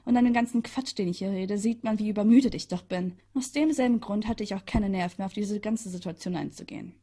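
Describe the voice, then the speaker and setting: A woman's voice: medium pitch, fast talker, dark tone, breathy edge. A woman narrating a first-person story aloud with chatty, sarcastic asides.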